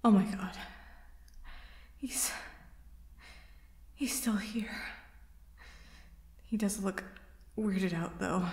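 A woman playing an anxious, tender werewolf girlfriend in an intimate ASMR roleplay, stammering and hesitant in a breathy half-whisper. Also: a little high, full character voice. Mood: panicked, awed. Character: anxious, tender werewolf girlfriend, scared of hurting her partner.

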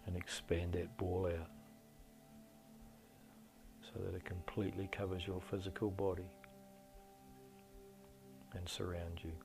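A middle-aged man leading a guided meditation in a slow, deliberate, soothing delivery with long pauses. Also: deep voice, flat delivery, creaky. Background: soft meditation music.

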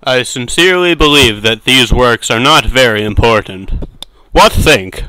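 Man playing an earnest young assistant, bright voice. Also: mid-range voice, lively intonation, unhurried, no room sound, poor audio. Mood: exasperated, serious. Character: earnest, exasperated young male detective's assistant. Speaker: voice actor in fan dub. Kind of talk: anime fan dub acting.

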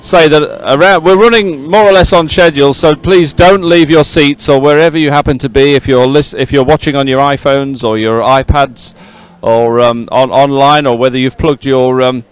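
A man's voice: mid-range voice, fairly even intonation, normal speed, hardly any pauses, clear, resonant tone. A middle-aged man presenting live outdoors at a sports event on a handheld mic, chatty and rambling, often repeating himself as he fills time. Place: outdoors by a regatta podium. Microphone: handheld microphone.

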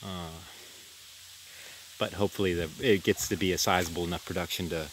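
Deep-voiced man rambling on a farm walk. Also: brisk, a little hiss or hum. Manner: rambling, relaxed, self-deprecating with restarts. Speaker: farm vlogger. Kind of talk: casual walk-and-talk farm tour. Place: outdoors by a creek on a tropical farm.

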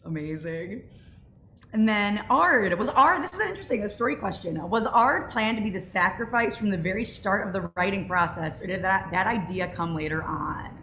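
A woman's voice: medium pitch, animated, normal speed, fairly bright tone, reverberant, a little hiss or hum. A young woman hosting a casual question-and-answer session over a group video call. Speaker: host of club Q&A, show creative team. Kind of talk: casual panel Q&A chat. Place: remote video call, roomy indoor space.

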